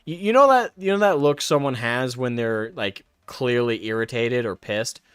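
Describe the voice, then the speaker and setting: A man's voice: medium pitch, lively intonation, moderate pace, fairly bright tone, clear, resonant tone, dry recording, studio quality. A man streaming casually, reading card text aloud and drifting into rambling personal stories. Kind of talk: casual live stream chat.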